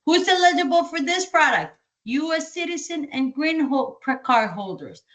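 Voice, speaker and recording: A woman's voice: high voice, moderate pace. Colombian-accented woman leading sales training. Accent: Colombian Spanish. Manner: energetic, repeats key points, asks and answers own questions. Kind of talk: training presentation.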